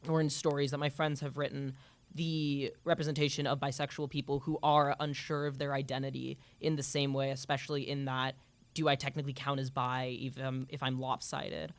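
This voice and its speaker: A man's voice: mid-range voice, level tone, brisk. A teenage boy speaking on a student panel, earnest and reflective, with hesitant fillers. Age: teens. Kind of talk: panel discussion answer. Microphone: handheld microphone.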